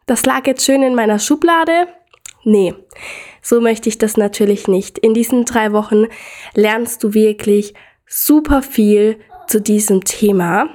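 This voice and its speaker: A young woman hosting her own podcast, talking casually and enthusiastically straight to the listener, her voice slightly hoarse from a cold. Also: a little high, measured pace, a little mellow. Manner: upbeat, enthusiastic, addresses listener directly. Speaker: podcast host. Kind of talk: casual solo podcast talk.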